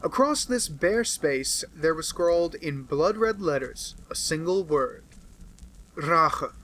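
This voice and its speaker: A man with an American accent narrating a classic detective novel in a steady, earnest way. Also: on the high side, slow pace. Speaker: audiobook narrator. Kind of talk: amateur audiobook narration.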